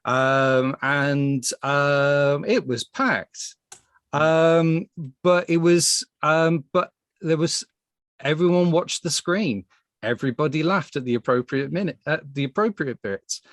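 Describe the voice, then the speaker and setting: Slow-talking man hosting a casual chat. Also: on the high side, fairly bright tone, no echo, clean studio sound. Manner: hesitant, halting, repeating words. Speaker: podcast host.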